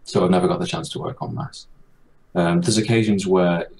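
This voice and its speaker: Man with a very low, deep voice. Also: steady tone, fairly quick, gravelly, darkish tone. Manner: thoughtful, hesitant, many fillers. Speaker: guest game artist. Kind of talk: casual chat.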